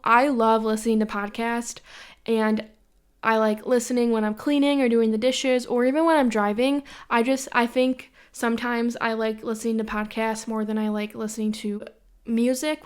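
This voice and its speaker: A woman's voice: a little high, smooth. A young woman from Western New York hosting her own podcast in a casual, rambling monologue full of opinions and repeated filler phrases. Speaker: podcast host.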